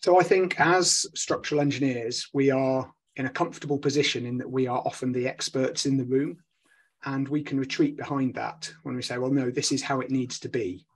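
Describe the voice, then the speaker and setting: A man's voice: mid-range voice, steady tone, fairly quick, slightly rough. A man in his forties, an engineering lecturer, speaking earnestly and persuasively on a panel over a video call from a home study. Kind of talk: panel discussion.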